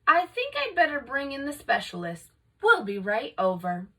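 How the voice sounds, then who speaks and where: A woman's voice: sits high, wildly swooping pitch, normal speed, a bit bright, recorded from a distance, pitched above the performer's own voice, full character voice. A woman voicing a puzzled, fussy male family doctor in a storybook, pondering and matter-of-fact.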